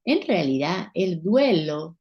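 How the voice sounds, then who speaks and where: A woman's voice: fairly low pitch, big pitch swings, slow, muffled recording. A Brazilian woman with a Portuguese accent, a psychologist guest explaining things thoughtfully and searching for words. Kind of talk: explaining in an interview.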